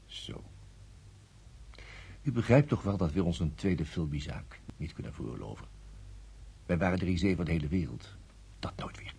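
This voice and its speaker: An actor in a radio drama playing a coolly sceptical, insistent interrogator who probes and doubts every answer. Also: male voice, deep voice, lively intonation, normal speed, vocal fry, long pauses, dark tone, slightly rough, heavily acted. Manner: sceptical, echoes the other's words, probing.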